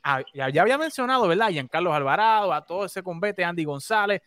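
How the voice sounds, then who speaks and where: A man's voice: high voice, expressive, fast talker, fairly bright tone, no echo. A Puerto Rican man hosting a sports talk show, enthusiastic and often restarting his sentences. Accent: Puerto Rican Spanish.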